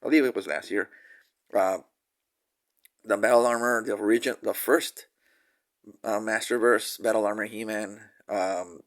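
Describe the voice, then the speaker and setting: A man's voice: fairly low pitch, steady tone, moderate pace, long pauses. A man with a nasal voice from a cold, casually reviewing a toy figure with many fillers and restarts.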